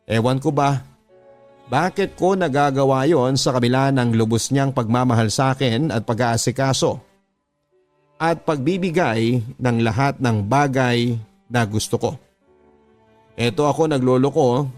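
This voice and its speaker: A man with a Filipino accent reads a scripted love confession in the first person as a radio drama narrator. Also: mid-range voice. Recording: music bed under the voice. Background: background music.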